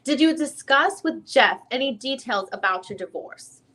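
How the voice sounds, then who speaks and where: A woman's voice: high voice, expressive, moderate pace, fairly bright tone. A woman reading the part of a persistent defense lawyer questioning a witness in a dramatic reading of a deposition, often rephrasing her questions. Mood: probing, businesslike. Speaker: reader playing a deposing attorney.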